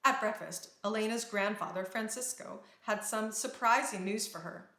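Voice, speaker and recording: A woman's voice: medium pitch, normal speed, sharp tone. A woman narrating a children's storybook aloud, reading expressively and carefully. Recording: roomy indoor echo.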